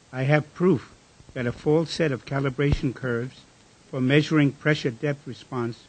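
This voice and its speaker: A man plays a frustrated whistleblower proofreading his official letter in a radio drama. His mid-pitched voice is formal and measured, with noise and clicks on the recording. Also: natural voice, low-quality recording.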